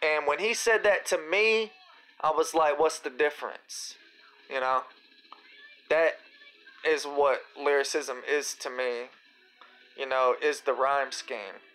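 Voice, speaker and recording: A man with a slightly raspy voice, hosting a casual live Q&A, reading viewer comments and answering in a laid-back, rambling way with slang. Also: a little high, slow, pauses often, pushed and bright, rough recording.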